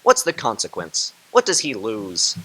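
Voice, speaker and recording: A man's voice: on the low side, wildly swooping pitch, unhurried, crowd noise, poor audio. A man playing jovial young nephew Fred. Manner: hearty, laughing, warm-hearted. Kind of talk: radio drama acting.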